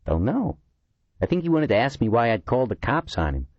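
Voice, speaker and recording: A man's voice: medium pitch, big pitch swings, fairly quick, no echo, dull audio, voice pushed up. A man voicing an eccentric, talkative middle-aged man who complains indignantly. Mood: uneasy.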